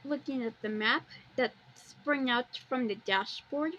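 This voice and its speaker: A woman with a high-pitched voice hosts a homemade walkthrough in a casual way. She describes what she sees in plain terms and drifts into her own little asides. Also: a little slow, sharp tone, dull audio. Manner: plainly describes pictures, wandering into personal asides. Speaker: amateur video host.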